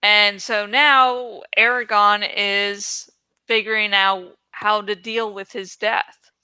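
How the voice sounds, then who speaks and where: A woman's voice: mid-range voice, little pitch movement, takes it slowly, pushed and bright, clean tone, no echo. A woman host chatting in a rambling, informal, giggly way about a book, swearing freely. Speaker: host of a book read-along channel.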